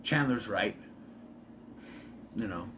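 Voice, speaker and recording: A man talks through a sitcom episode in a loose, rambling way, stopping to correct himself and tossing in opinionated asides. His pitch jumps around a lot as he goes, and now and then he slips into a quick impression of a character. A faint hiss sits under the recording. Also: expressive. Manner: briefly mimics characters while retelling. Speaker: show recap vlogger. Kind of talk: casual rambling episode recap.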